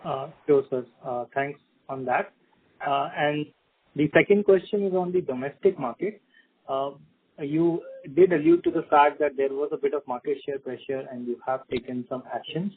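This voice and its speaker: Higher-pitched halting man on the phone. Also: husky, no room sound. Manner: halting, polite. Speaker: analyst asking questions. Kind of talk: earnings call questioning. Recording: narrow dry phone line.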